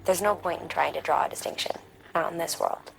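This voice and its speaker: A woman voicing an eerily serene scientist in a video game cinematic, speaking in a calm, measured, cryptic way over music. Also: low-pitched, creaky, a little hiss or hum, poor audio. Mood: calm, insistent.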